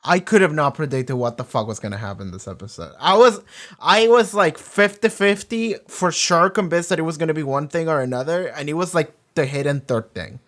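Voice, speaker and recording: Young man reacting, lively swooping pitch. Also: brisk. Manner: blunt, sweary, slangy. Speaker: reaction video host. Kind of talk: casual running commentary on a TV episode.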